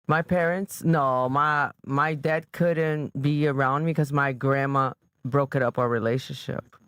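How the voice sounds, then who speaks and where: A woman's voice: very deep voice, fairly even intonation, unhurried, fairly bright tone, smooth, no room sound. A woman in her twenties with a Brazilian accent, chatting brashly as a podcast guest in slangy, imperfect English. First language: Portuguese. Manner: brash, slangy, broken grammar. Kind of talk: casual chat.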